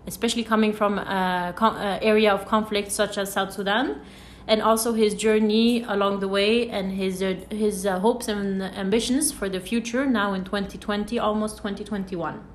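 Woman podcast host, mid-pitched voice, hesitant, restarts often, echoey room, faint noise. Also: steady tone, normal speed. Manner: hesitant, many fillers and restarts. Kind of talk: interview conversation.

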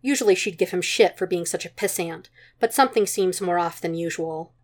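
Woman, slightly low voice, scripted story narration, amateur audiobook reading. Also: fairly quick. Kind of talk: scripted narration of fan fiction.

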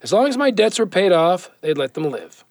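A man's voice: sits high, big pitch swings, a little fast, dry recording, clean studio sound. A man playing a weary, guilt-ridden former cop and compulsive gambler in an audio drama, brooding and confessional in manner. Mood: bitter regret.

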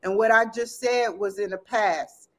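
A woman's voice: mid-range voice, measured pace. A woman in her thirties, a podcast guest, talking in a rambling, enthusiastic way and laughing often. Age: 30s. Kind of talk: casual chat.

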